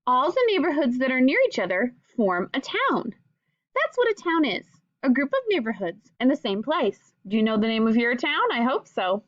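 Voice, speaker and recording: A woman's voice: fairly high pitch, no room sound, lo-fi sound. A woman teacher reading a children's picture book aloud, speaking directly to young listeners. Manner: addresses young listeners directly with simple questions. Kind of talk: children's read-aloud with questions and asides.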